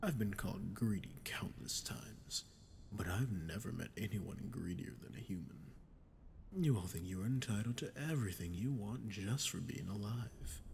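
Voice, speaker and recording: A man voicing an ancient sadistic demon in an ASMR roleplay, breathy and often near a whisper, taunting and condescending as he speaks straight to the listener. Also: fairly low pitch, moderate pace, a little mellow, a little hiss or hum. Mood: scornful. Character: ancient sadistic male demon, condescending, menacing, seductive manipulator. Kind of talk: scripted ASMR roleplay monologue.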